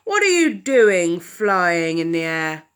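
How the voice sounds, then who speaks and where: A woman voicing a blunt male cat who brushes off a stranger in a lower voice. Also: sing-song, slow pace, fairly bright tone, clear, resonant tone, exaggerated voice. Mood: dismissive.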